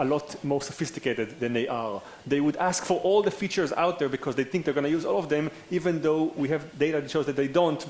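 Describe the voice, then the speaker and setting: A man's voice: a little high, a little mellow. A man, a neuroscientist on a conference panel, explaining his ideas in a fluent, semi-casual way. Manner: fluent, explanatory, builds points in lists. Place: conference hall. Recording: echoey hall with faint background noise.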